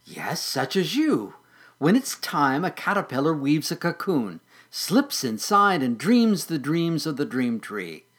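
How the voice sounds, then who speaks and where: A storyteller voicing a patient older sister caterpillar in a soft, soothing tone. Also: mid-range voice, big pitch swings, measured pace, husky, heavily acted. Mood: gentle. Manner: soft, soothing, sometimes whispered.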